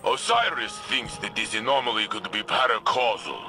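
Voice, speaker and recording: An actor voicing an earnest, calm male warrior ally in a video game, speaking in a measured, encouraging way. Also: lowish voice, over music. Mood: steady.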